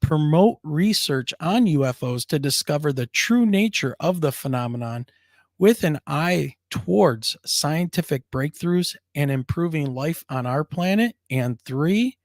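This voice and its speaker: An upbeat man hosts a casual live chat, laughing easily between remarks. His voice sounds scratchy and worn, pitched a little high. The sound is dry, with no room echo. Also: animated, measured pace. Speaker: co-host of a paranormal talk show.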